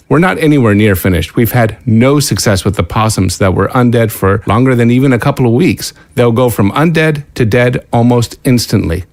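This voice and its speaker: A man's voice: mid-range voice, dry recording, clean studio sound, close to an everyday voice. A man playing a flustered, anxious scientist. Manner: flustered, stammering. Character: anxious male research scientist.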